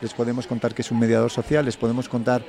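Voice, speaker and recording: A man's voice: medium pitch, monotone, fast talker, darkish tone. A man with a Spain Spanish accent, a fair organizer talking in a live radio interview outdoors, thoughtful and full of restarts and self-corrections. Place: outdoors in city park gardens. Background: crowd.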